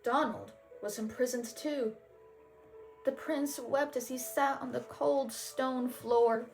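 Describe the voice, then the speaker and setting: A woman reading a children's storybook aloud in a deliberate, expressive way, as the host. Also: sits high, creaky, faint music behind, low-quality recording. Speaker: storybook reader and channel host. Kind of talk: storybook read-aloud narration.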